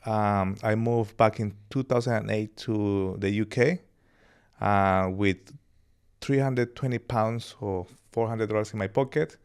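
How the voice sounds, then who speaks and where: A man in his thirties from Colombia with a Colombian accent and Spanish as his first language, telling his life story as a podcast guest in a relaxed, rambling way. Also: on the low side, a little slow, clear, resonant tone. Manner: relaxed, laughs easily, rambling anecdotes. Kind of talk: casual interview storytelling.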